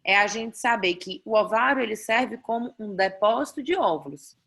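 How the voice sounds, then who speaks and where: Brazilian lady doctor teaching over a video call, mid pitch, patient explainer, keeps checking in. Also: lively intonation, normal speed, vocal fry, a bit bright, slightly rough. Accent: Brazilian Portuguese. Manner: explanatory, frequent "né?" tags and restarts. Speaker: doctor teaching a fertility class. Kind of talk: online lecture explaining slides.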